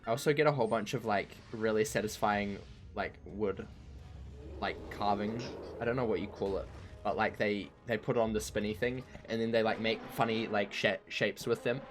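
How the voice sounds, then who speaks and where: A man with a croaky voice chatting casually while streaming a game, rambling and poking fun at himself. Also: lowish voice, steady tone, moderate pace, soft background music. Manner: rambling and self-mocking, laughs easily. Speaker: streamer playing an online game. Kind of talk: casual chat while gaming.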